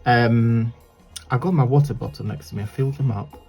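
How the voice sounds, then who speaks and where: Crafting host, male, croaky and husky, rambling chat over faint music. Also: medium pitch, fairly even intonation, brisk, warm tone. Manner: rambling and chatty, many fillers and restarts. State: croaky morning voice. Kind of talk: casual chat while crafting. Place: home craft table, indoors.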